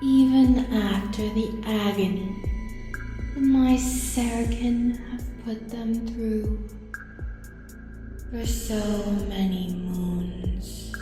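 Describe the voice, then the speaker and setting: A woman voicing an ancient, cruelly manipulative dark entity in an audio roleplay, speaking deliberately and menacingly, at times in whispers. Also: on the high side. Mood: smug contempt. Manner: slow, deliberate, savouring menace, dropping into whispers. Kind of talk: scripted audio roleplay, ASMR drama. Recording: long cavernous reverb, faint music behind.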